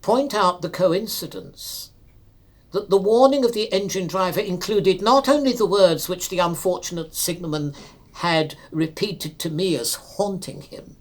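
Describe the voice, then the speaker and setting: A man reads a classic ghost story as a dramatic narrator. His delivery is slow and solemn, with measured, theatrical phrasing that gives each clause its own space. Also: sits high.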